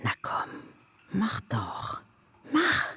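An actress playing a mocking, goading inner voice of fear in a radio drama, husky and teasing. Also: female voice, mid-range voice, huge pitch swings, extremely slow, sharp tone, a little hiss or hum, exaggerated voice. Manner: teasing, swooping delivery.

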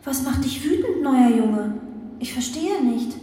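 A woman's voice: high-pitched, animated, measured pace, dark tone. A woman playing a courteous, controlling artificial intelligence who rules a space ark, speaking with formal, emotionless politeness in a children's radio play. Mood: formal, detached. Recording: echoey hall, distant, faint noise.

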